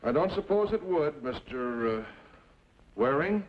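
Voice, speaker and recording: An authoritative frontier marshal in a radio western. He is dry, with lively swings in a medium-pitched voice. The old recording is dull and plainly noisy. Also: measured pace.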